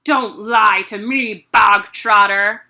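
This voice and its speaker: A woman voicing a huge, bullying headmistress who barks and shouts insults at a pupil. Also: fairly high pitch, moderate pace. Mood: raging.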